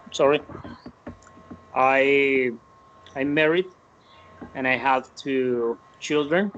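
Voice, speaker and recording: Halting fifty-year-old Colombian man. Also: medium pitch, a bit bright. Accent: Colombian Spanish. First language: Spanish. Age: 50s. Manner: halting, repeats words. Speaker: student. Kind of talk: self-introduction in a class video call. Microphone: video call. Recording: muffled call audio.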